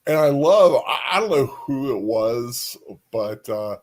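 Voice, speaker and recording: A man's voice: medium pitch, animated, unhurried, darkish tone. A man co-hosting a casual discussion, speaking tentatively as he searches for words. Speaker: co-host.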